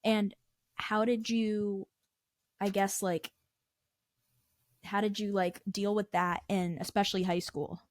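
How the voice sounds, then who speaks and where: Halting young woman host, dry sound. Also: medium pitch, flat delivery, no echo. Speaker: podcast host. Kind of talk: casual interview.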